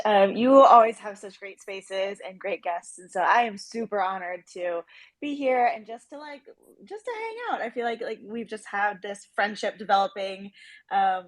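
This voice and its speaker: Woman guest on a podcast, casual and excited, medium pitch, really informal. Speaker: podcast guest, project representative. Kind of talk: casual enthusiastic interview chat.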